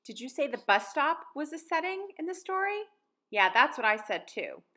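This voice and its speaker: A woman's voice: sits high, big pitch swings, normal speed, sharp tone. An American woman in her thirties, a teacher reading and talking to young children in simple, guiding phrases. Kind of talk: picture-book read-aloud and lesson for children.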